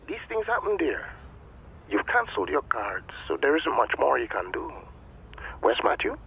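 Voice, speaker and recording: Older dad in a radio play, gravelly, gentle but knowing, slightly muffled sound. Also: male voice, a little high, huge pitch swings, normal speed, a little hiss or hum, exaggerated voice. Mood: concerned. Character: older retired diplomat father, gently probing and knowing. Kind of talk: radio drama acting.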